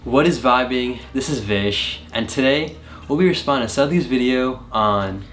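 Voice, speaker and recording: A young man, a meditation coach, hosting a reaction video, energetic in the intro and calm when guiding breathing. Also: mid-range voice, normal speed, over music.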